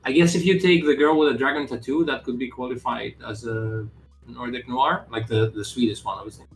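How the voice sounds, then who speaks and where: A man's voice: mid-range voice, steady tone, a little fast, reverberant, soft background music, rough recording. A man game developer chatting casually on a live stream, rambling with lots of "like" fillers and the odd swear word.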